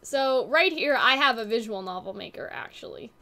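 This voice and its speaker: A woman hosting a gaming channel, chatting casually and reading aloud from a web page in an expressive way. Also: on the high side, moderate pace, gravelly.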